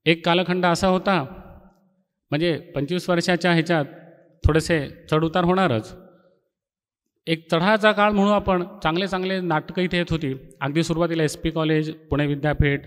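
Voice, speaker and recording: A middle-aged man gives a ceremonial speech as a college teacher, reminiscing and explaining in an oratorical way. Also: on the high side. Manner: explanatory, poses rhetorical questions and answers them. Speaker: college faculty member giving an inaugural speech. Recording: long hall reverb.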